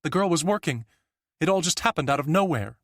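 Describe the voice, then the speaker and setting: A shaken woman describing a brutal attack she has just seen, as a man puts on her voice. She speaks high and tense, a little louder than the narration. The sound is dry and close. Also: animated, a little fast, no echo. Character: shaken woman witness recounting a killing.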